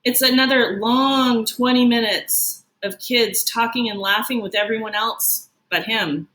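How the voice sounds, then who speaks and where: Bright-voiced woman reading a storybook slowly. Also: fairly high pitch. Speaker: teacher reading a picture book. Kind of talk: expressive children's storybook read-aloud. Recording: roomy, echoing sound.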